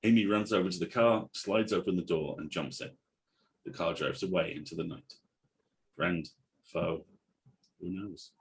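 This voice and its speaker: A man with a low-pitched voice narrates an audio drama script. He reads scene headings and action lines. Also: steady tone, normal speed, long pauses. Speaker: narrator at a table read.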